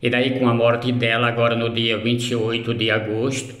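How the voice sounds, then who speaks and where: A grieving man hosting his own channel, speaking in a halting, somber way with many pauses and fillers, recorded on a phone outdoors. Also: medium pitch, flat delivery, fairly bright tone, echoey room. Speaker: channel host. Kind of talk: grieving personal announcement.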